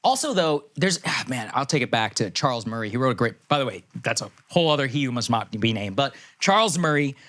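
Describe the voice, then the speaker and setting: A man in his thirties guesting as a commentator on a podcast. He speaks rapidly at an ordinary pitch, reasoning through figures and opinions in a loose, conversational style. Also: lively intonation, fairly bright tone. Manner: analytical, citing studies and figures. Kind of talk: casual opinionated discussion.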